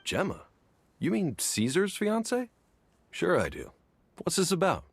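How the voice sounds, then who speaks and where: A man's voice: high voice, wildly swooping pitch, a little slow, gravelly, husky, heavily acted. A man playing a sympathetic, plain-spoken townsman in a video game, talking in a wistful, conversational way. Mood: curious. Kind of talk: video game character acting. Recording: music behind the voice.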